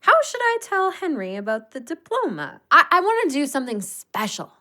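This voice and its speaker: An excitable, tipsy woman out with her sister in an audio drama. Her high, bright voice swoops playfully and stretches words out slowly. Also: heavily acted. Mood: hopeful. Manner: expressive, playful. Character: excitable, giggly, tipsy woman on girls' night out.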